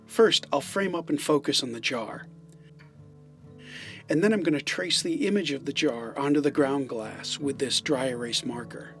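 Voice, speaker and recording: A man giving an explainer narration as a filmmaker and presenter. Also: fairly high pitch.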